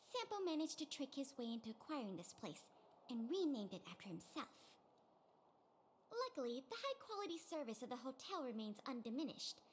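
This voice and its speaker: A woman voicing a courteous, eager young hotel greeter in a video game, with a polished sales-pitch delivery. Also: slight background noise. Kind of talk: video game dialogue acting.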